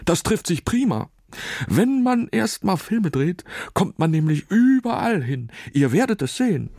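A man voicing an excitable, friendly male dog who directs pirate films, with a panting, barking enthusiasm, in a children's audio story. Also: high-pitched, unhurried, vocal fry, pitched above the performer's own voice, exaggerated voice. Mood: delighted.

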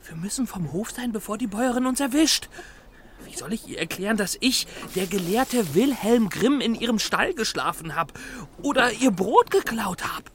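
A man's voice: sits high, expressive, moderate pace, a bit bright, slight background noise. A man playing an earnest, easily flustered young scholar and storyteller in a children's radio play. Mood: urgent, flustered. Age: young adult. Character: anxious, bookish young male scholar and storyteller. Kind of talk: children's radio drama acting.

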